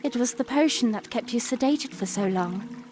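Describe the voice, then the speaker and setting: Woman as young princess, high voice, sweet, playful, gracious, formal phrasing, fantasy audio drama, music behind. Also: expressive, normal speed, natural voice. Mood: sweet, tender. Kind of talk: audio drama character acting.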